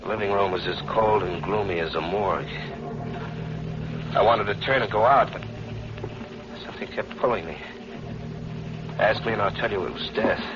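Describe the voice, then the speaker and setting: A man performs a slangy American fall guy in a vintage radio thriller, edgy and defensive. His low voice is dramatic and hard-boiled, muffled under loud hiss and noise. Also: normal speed, long pauses, natural voice. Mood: grim, haunted. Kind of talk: old-time American radio thriller acting and narration. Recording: muffled, very noisy old radio recording.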